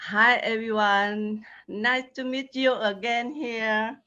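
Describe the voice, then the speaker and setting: Slow, flat-voiced woman on lo-fi audio. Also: on the high side. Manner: slow, careful, polite. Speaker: learning group member. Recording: dull lo-fi call audio.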